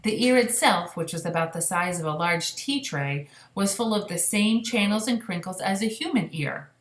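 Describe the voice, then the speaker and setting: A woman reading a children's story aloud, pausing for casual explanatory asides to young listeners. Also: mid-range voice, expressive. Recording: echoey room.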